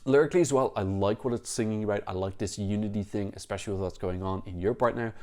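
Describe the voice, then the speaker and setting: Low-voiced man giving blunt opinions. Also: darkish tone. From Ireland. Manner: frank, critical, talks in quick bursts with restarts. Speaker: Eurovision reaction vlogger. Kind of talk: opinionated casual commentary to camera.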